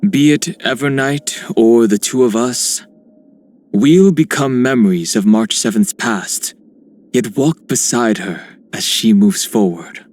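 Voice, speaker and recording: A man voicing a calm, serious, scholarly young guardian with a measured, reserved manner in a video game. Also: mid-range voice, close to an everyday voice. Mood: composed, earnest.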